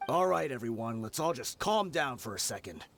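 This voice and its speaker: An actor voicing a nervous male mercenary who tries to calm a tense standoff in a video game. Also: mid-range voice, normal speed, creaky, a little hiss or hum. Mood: uneasy. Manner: hesitant, placating. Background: game music.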